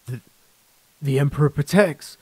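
A man's voice: on the high side, measured pace, slightly rough, no room sound. A man voicing a bitter, accusing captive soldier in a short story. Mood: wary, halting. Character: bitter, distrustful captured young soldier, loyal zealot. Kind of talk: short story character reading.

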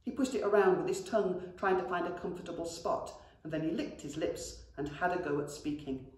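A woman's voice: on the low side, brisk. A middle-aged woman, a schoolteacher, reading a children's story expressively and addressing children affectionately. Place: indoor school classroom. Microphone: camera a few metres away. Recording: very echoey classroom.

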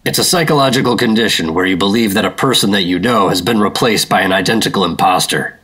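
Bright-edged, low-voiced American secret operative. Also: male voice, fast, breathy edge. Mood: firm, insistent. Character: terse, stubborn, skeptical 1950s male secret operative. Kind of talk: radio drama acting with noir narration.

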